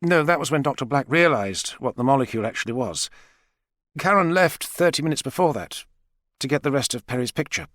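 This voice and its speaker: A man voicing a bold, outspoken woman with a brisk, challenging manner in an audiobook. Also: mid-range voice, lively intonation, a bit bright, no echo, studio quality, pitched above the performer's own voice, natural voice. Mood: matter-of-fact.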